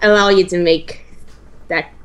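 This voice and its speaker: A woman's voice: on the low side, fairly even intonation, unhurried, smooth. A woman chatting casually as a co-player during a video game session. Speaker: co-player and guest commentator. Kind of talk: casual gameplay chat. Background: game music.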